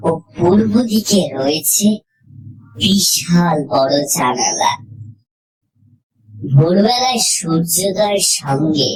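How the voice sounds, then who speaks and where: Female horror audiobook narrator, lower register, very unhurried, deliberate phrasing. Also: low-quality recording. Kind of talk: scripted horror story narration, first person.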